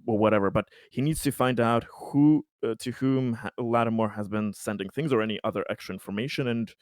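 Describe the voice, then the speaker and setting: A man with a slightly low voice plays in a tabletop roleplaying game. He explains his character's actions in a casual, hesitant way, stumbling over words. The sound is very dry and close. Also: fairly quick, a little mellow, no echo.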